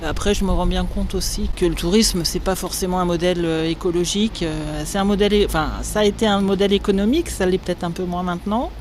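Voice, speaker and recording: A hesitant, soft-spoken woman from Normandy chats with an interviewer out on a city street. Traffic and street noise come through clearly around her voice, which is picked up on a handheld recorder. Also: lowish voice, fairly even intonation, fast talker, hardly any pauses. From Normandy, France. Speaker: interviewee, local resident. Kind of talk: casual street conversation. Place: street outdoors.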